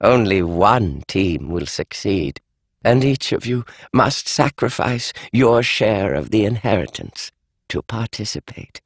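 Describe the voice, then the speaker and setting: A man reading the part of an elderly grandmother addressing her family in a will video, solemn and measured. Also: lowish voice, expressive, dry recording, full character voice. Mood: grave. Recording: dry studio audiobook.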